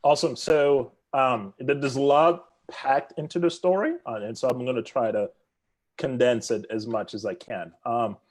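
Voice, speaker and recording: A man from the Congo whose first language is French, speaking with his accent as an interview guest, telling his story in an animated, self-deprecating way. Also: medium pitch, moderate pace. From Democratic Republic of the Congo. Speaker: guest, real estate executive.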